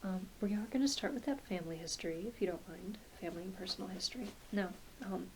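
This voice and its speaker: A woman playing a gentle, reassuring, slightly scattered doctor in an ASMR medical roleplay, speaking softly and haltingly, often trailing into a near-whisper. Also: mid-range voice, natural voice, low-quality recording. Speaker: ASMR performer. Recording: close home recording with clear hiss.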